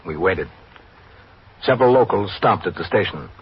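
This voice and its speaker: An actor playing a sober police captain in an old-time radio drama, measured, formal and matter-of-fact. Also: male voice, sits low, expressive. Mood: sober, official. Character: sober male police captain recounting his case. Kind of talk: scripted radio drama narration. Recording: dull, hissy old radio recording.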